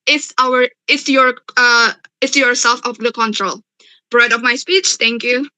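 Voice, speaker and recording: Young woman debating, high and super bright voice, stumbles and corrects herself, asks rhetorical stuff. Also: steady tone, no room sound, low-quality recording. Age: young adult. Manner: halting, self-correcting, rhetorical questions. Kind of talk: competitive debate speech.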